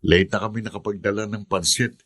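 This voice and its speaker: A man's voice: on the low side, fairly even intonation, brisk, fairly bright tone, breathy edge, no room sound. An actor playing a kindly, easygoing older brother, a young working man, speaking casually and apologetically in a radio drama. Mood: friendly, apologetic.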